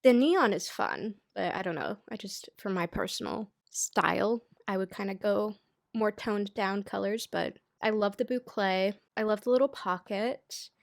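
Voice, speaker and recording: A stuffy-nosed young American woman talks in a loose, chatty way, full of "like" and wry jokes at her own expense. Her voice sits at an ordinary middle pitch and is recorded close and dry, with no room sound around it. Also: creaky, dry recording. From United States. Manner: chatty, self-deprecating, lots of "like". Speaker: knitting YouTuber. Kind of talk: casual chatty pattern roundup.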